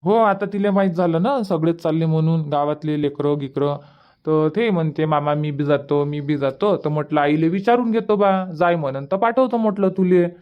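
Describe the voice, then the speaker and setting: A man's voice: fairly high pitch, normal speed, clean tone, lo-fi sound, natural voice. A man voicing an easygoing young village son in a cartoon comedy, chatty and colloquial, with a rural Vidarbha Marathi accent. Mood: casual, reassuring.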